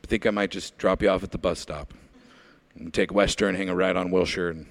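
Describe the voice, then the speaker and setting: A man's voice: low voice, steady tone, fairly quick, dry recording. A man playing a needy, menacing killer who unloads his feelings in a blunt, confessional way in an improvised comedy parody of a radio play. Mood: menacing, softened.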